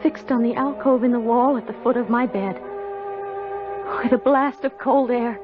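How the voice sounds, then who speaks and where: A woman's voice: fairly high pitch, fairly even intonation, moderate pace, over music, lightly acted. An actress playing an earnest, guilt-ridden young servant girl in old-time radio drama, with a sensitive, dramatic delivery. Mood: haunted, solemn. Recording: muffled old radio broadcast.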